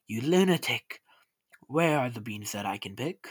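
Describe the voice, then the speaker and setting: A man voicing a sharp-tongued, short-tempered mother who scolds her boy emphatically in a storybook rhyme. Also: medium pitch, animated, moderate pace, breathy edge, no echo, studio quality. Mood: scornful.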